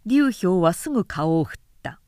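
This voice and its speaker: A woman's voice: on the low side, very animated, normal speed, warm tone, smooth, dry recording, clean studio sound. A woman narrating a literary audiobook in a composed, dramatic storytelling manner.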